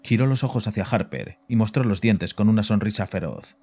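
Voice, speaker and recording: Casting: male narrator for horror audio fiction, low register, dark soft timbre. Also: fairly quick, breathy edge. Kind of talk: scripted horror story narration. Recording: voice over background music.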